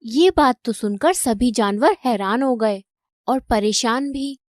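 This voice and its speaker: A woman's voice: fairly high pitch, slow, no echo, clean studio sound. A woman narrating a scripted children's moral story.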